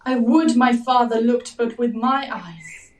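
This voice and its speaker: A woman's voice: on the high side, slow pace. Earnest young maiden, slightly distant played by a woman. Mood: pleading. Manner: earnest, formal pleading. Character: young Athenian maiden, earnest, respectful yet defiant. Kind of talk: Shakespearean verse audio drama acting.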